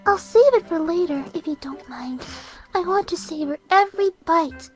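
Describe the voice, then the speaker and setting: A woman's voice: extremely high pitch, expressive, moderate pace. A woman voicing sweet, girlish cartoon ponies in a fan audio story, with music behind her. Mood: shy, gentle.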